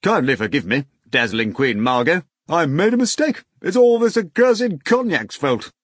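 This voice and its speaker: A man's voice: a little high, animated, moderate pace, husky, dry recording, studio quality, pitched above the performer's own voice. A man voicing a fat, drunk, jolly man who bellows and fawns. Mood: apologetic. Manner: bellowing, tipsy and fawning.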